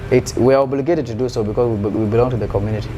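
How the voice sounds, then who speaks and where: A man's voice: mid-range voice, racing. A man from South Sudan, a musician answering interview questions in an earnest, searching way, often repeating words as he finds his phrasing. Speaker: musician guest. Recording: steady background noise.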